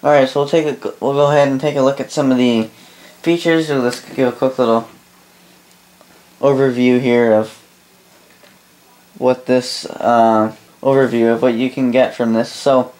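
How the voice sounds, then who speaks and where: A man giving a casual, unscripted product review in a rambling, halting way, often correcting himself, recorded indoors. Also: mid-range voice, darkish tone, rough recording. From Canada. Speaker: host of a radio gear review channel. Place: indoor room full of radio gear.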